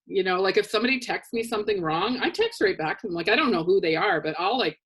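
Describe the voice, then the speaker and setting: A middle-aged woman with a low voice, co-hosting a casual chat and telling stories with dry humor and frequent laughter. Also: animated, quick pace, husky. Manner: chatty storyteller, dry humor, laughs often. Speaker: co-host. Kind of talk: casual chat on a live show.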